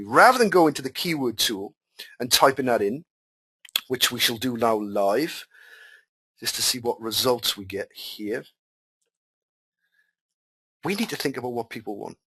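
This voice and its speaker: A man's voice: mid-range voice, fairly bright tone, slight background noise. A man whose first language is Welsh hosts a live webinar. He reads out students' questions and answers them in a chatty, repetitive way, over a computer mic. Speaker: webinar host and marketing coach.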